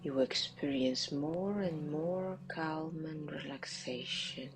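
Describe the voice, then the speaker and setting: Very slow, low-pitched woman guiding meditation. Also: music in the background, rough recording. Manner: hushed, breathy, deliberate with long pauses. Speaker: guided meditation leader. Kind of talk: slow guided visualisation, meditative narration.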